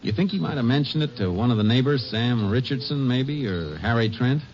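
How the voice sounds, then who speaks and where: An actor playing a shrewd, steady Texas Ranger in a 1950s radio drama, terse, probing and matter-of-fact. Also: male voice, medium pitch, fairly quick, close to an everyday voice. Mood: probing, casual. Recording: muffled, noisy old radio recording.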